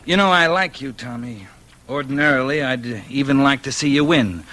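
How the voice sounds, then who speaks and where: An actor playing a smooth gangster boss in 1940s radio crime drama style, genial on top with understated menace. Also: male voice, mid-range voice, moderate pace, pushed and bright, close to an everyday voice. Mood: amused, taunting.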